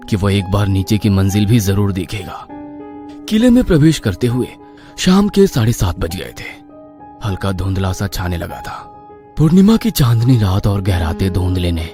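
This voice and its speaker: A man's voice: fairly low pitch, dark tone. A man narrating a horror story in a theatrical, suspenseful manner.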